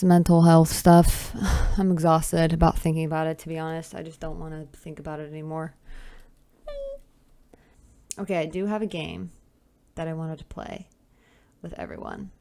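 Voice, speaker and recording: A woman's voice: low voice, creaky, warm tone, smooth, no room sound. A woman in her twenties hosting a solo podcast, chatting casually and teasing herself, with sighs and asides. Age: 20s. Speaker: podcast host. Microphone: camera with close mic.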